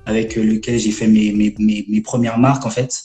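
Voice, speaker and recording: A man in his twenties from French Guiana, a gospel rap artist chatting on a live stream, halting with many fillers and restarts. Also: on the low side, little pitch movement, mellow, reverberant, faint music behind. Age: 20s. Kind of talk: casual live-stream interview.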